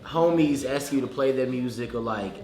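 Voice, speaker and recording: A man in a relaxed, laid-back casual chat. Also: mid-range voice, fairly quick. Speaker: guest. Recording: echoey room with music.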